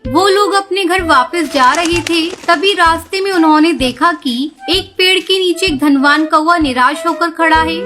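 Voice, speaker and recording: Lady narrator reading a kids story, really high voice, music behind her. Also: normal speed, bright, forceful tone. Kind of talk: scripted children's story narration.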